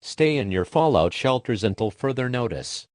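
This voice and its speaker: A man reading a scripted emergency alert as a formal, measured broadcast announcer. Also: medium pitch, expressive, no room sound, clean studio sound. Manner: formal, measured official delivery.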